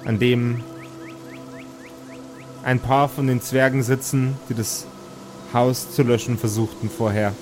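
A man narrating as game master, telling an improvised fantasy story in a measured, halting, atmospheric way. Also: mid-range voice, level tone, over music.

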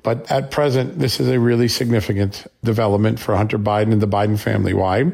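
A man's voice: fairly low pitch, fairly even intonation, fast, gravelly, a little mellow. A man hosting a political news commentary show, sounding slightly scratchy from a flu and making his points emphatically with short punchy questions.

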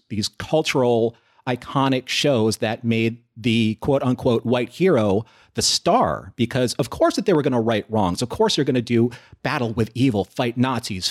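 Male American panelist, medium pitch, thoughtful explainer in an unscripted group discussion. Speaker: podcast panelist. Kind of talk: conversational discussion.

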